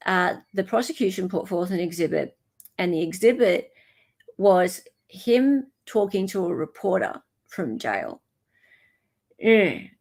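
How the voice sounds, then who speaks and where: Creaky-voiced Australian woman chatting. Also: fairly low pitch, darkish tone. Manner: chatty, informal, greets viewers mid-talk. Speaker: livestream host. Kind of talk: casual livestream chat and commentary.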